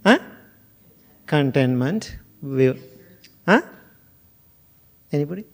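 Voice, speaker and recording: Monk from sri lanka, talks slow and easy, kinda high voice, jokes with the crowd. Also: male voice, lively intonation. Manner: slow, musing, jokes and asks the audience questions. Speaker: Buddhist monk teacher. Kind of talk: informal dharma talk to a group.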